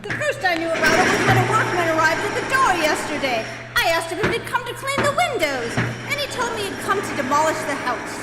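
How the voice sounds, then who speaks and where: A woman acts out an indignant homeowner, a man with a very high voice and dry, exasperated sarcasm. It is staged radio comedy, stubborn in temper. The hall is reverberant, with music behind. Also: sing-song, fairly quick, few pauses, a loud crowd.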